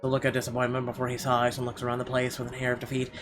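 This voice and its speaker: A man with a mid-range voice hosts a gaming video. He reads narration aloud and reacts casually and irreverently, with game music clearly audible behind him. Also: mid-range voice, completely flat delivery, rapid-fire, fairly bright tone.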